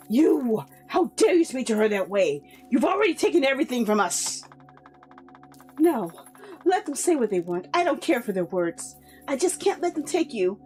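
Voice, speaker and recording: A woman voicing a young mother who wails and begs in desperation to keep her family together. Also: a little mellow. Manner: wailing, shrill sobbing pleas.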